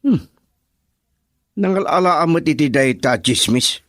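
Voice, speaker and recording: A man's voice: fairly high pitch, lively intonation, a little slow, fairly bright tone, dry recording, studio quality. A man acting a lovesick, jealous young suitor in an Ilocano radio drama. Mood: jealous, bitter.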